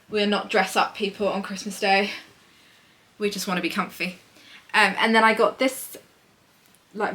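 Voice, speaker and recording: Woman, 30s, casual vlogger, bright sharp tone, rambling chat. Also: mid-range voice. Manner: chatty, rambling, upbeat. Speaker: knitting vlogger. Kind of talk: casual chatty vlog.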